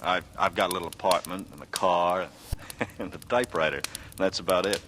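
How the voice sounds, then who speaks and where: An actor playing a secretive, coolly sardonic young ex-pilot in a 1940s radio drama, with an American accent and a measured, brooding delivery. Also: male voice, sits low, background noise, natural voice. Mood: easy, wry. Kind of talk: 1940s radio drama acting, first-person noir narration and dialogue. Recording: vintage radio recording with prominent music.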